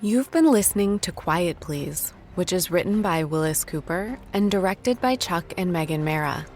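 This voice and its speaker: Low-pitched woman announcer over soft music. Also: animated, moderate pace. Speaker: announcer reading radio drama credits. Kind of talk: scripted announcement.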